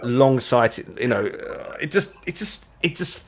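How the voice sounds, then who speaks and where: A man's voice: mid-range voice, lively intonation, a little slow. A man from the UK, co-hosting a casual live chat and rambling as he repeats words while searching for his point. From United Kingdom. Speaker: co-host.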